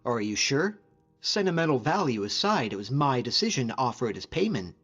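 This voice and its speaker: A man playing a friendly, modest lone-wolf hunter as a video game companion, speaking in an earnest, measured way. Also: mid-range voice, sing-song, a bit bright, slightly rough, faint music behind. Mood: touched, sincere.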